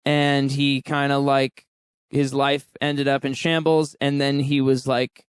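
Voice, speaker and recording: Male musician guest, 30s, candid and laughing, smooth clear tone. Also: medium pitch, level tone, measured pace, no room sound, clean studio sound. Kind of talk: casual interview chat.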